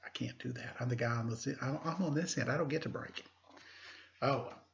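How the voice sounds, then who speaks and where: A man speaking as an elementary teacher, reading aloud to pupils with deliberate emphasis and informal asides. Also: mid-range voice, vocal fry, highs cut off, slight background noise. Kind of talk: read-aloud lesson with casual asides.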